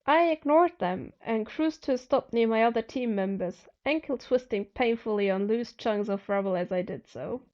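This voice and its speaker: A woman's voice: a little high, normal speed, hardly any pauses, warm tone, lo-fi sound. A woman narrating first-person fiction expressively as an amateur audiobook reader.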